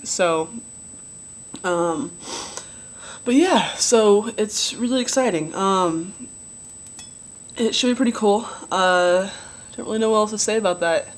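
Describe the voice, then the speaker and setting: A young man with a relatively low voice giving a casual video diary update, rambling with many ums and likes. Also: slow pace, pauses often. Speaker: vlogger.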